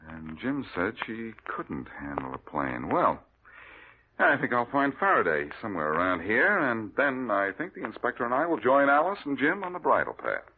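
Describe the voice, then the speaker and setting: An actor playing a confident, breezy amateur detective in 1940s American radio style, on an old recording. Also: male voice, medium pitch, wildly swooping pitch, moderate pace, breathy edge, phone-quality sound. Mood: probing. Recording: noisy, band-limited old radio recording.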